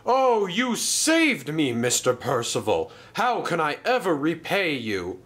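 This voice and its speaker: A man's voice: lowish voice, very animated, drawn-out words, pushed and bright, full character voice. A man voicing a huffy, emotional railway engine with a groaning, gasping delivery in a children's story. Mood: shaken, grateful.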